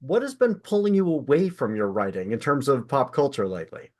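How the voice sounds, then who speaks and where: Man, casual mid-pitched podcast host. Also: big pitch swings, brisk. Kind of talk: casual conversational interview.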